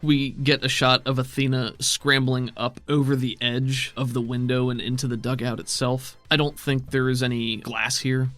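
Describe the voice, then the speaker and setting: A man's voice: medium pitch, flat delivery, moderate pace, hardly any pauses, fairly bright tone, faint music behind. A man narrating and refereeing a tabletop roleplaying game as game master.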